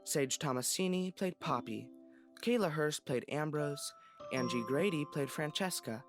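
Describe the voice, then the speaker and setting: Casting: male credits announcer, slightly high voice, scripted audio drama outro over clear music. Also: animated, measured pace. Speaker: cast member reading credits.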